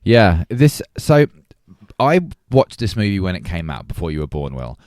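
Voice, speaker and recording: A man in middle age talks casually as a podcast co-host. His voice sits low and deep, and it is recorded dry, with hardly any room sound around it. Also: sing-song, normal speed, darkish tone, no echo. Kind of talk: casual chat.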